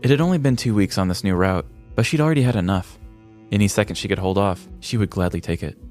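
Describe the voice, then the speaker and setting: A man's voice: fairly low pitch, fast talker, a little mellow. An American man in his thirties reading scripted comedic story narration as a podcast host. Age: 30s. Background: faint music.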